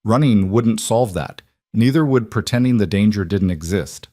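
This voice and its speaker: A man's voice: fairly low pitch, normal speed, mellow, no echo, clean studio sound. A man reading a scripted dramatic romance story as an audiobook narrator.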